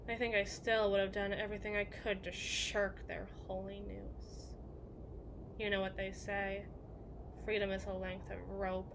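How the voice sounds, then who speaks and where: A woman's voice: mid-range voice, background noise, close to an everyday voice. A woman playing a melancholy, guilt-ridden evil queen in an ASMR roleplay monologue, speaking in formal, introspective phrases to someone she loves. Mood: wistful, brooding.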